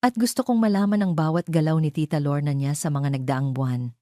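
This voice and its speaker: A stern, commanding Filipino male CEO, acted out by a woman narrator in an audio drama. He talks curtly, in a dark, mellow tone. The sound is very dry. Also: deep voice, a little fast, no echo, close to an everyday voice. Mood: stern, urgent. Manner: curt, commanding.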